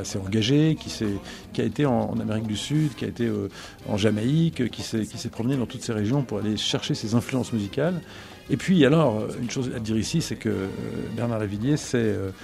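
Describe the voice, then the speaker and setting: A middle-aged man talking on the radio about songs he loves, thoughtful and pausing often to find his words. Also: mid-range voice, gravelly, dark tone. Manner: thoughtful, searching for words, many pauses and restarts. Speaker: radio guest, broadcasting executive. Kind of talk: reflective radio talk about favorite songs.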